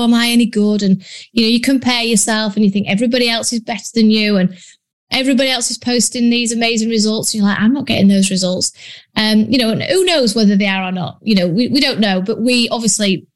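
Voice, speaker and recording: A woman with a Yorkshire accent, a business coach talking bluntly and chattily in a casual solo podcast, with plenty of ums. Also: medium pitch. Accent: Yorkshire English. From Yorkshire, northern England. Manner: blunt, chatty, frequent ums and British slang.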